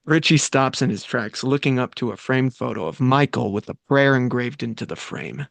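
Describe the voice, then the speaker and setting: Gravelly male stage direction reader. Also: medium pitch, no room sound. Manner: plain, quick read with slight stumbles. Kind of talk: reading screenplay directions.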